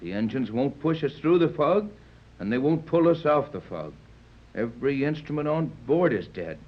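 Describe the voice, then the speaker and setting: A commanding yacht captain acted by a man in a 1930s radio adventure. His medium-pitched voice is firm, practical and skeptical. The recording is dull and dated. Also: animated, moderate pace, close to an everyday voice. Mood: resigned, firm. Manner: firm, authoritative, dismissive. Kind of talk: 1930s radio serial acting.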